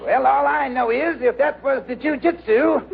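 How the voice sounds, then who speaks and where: A mild hesitant family guy, creaky high voice, tinny old radio comedy. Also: normal speed. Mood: earnest, aggrieved. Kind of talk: 1940s radio sitcom acting. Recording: tinny old radio recording, studio audience, hiss.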